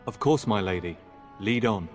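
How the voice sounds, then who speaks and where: Earnest low-voiced young knight played by a man. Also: expressive, normal speed, soft background music, lightly acted. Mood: earnest, respectful. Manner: formal, courteous, earnest. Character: earnest, dutiful young knight, loyal and deferential. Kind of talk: video game voice acting.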